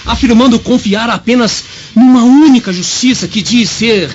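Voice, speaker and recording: An actor playing a nervous, deferential aide in a radio drama, with an urgent, formal delivery. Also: male voice, extremely high pitch, moderate pace, muffled recording. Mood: earnest. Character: nervous, deferential male aide to a Roman governor.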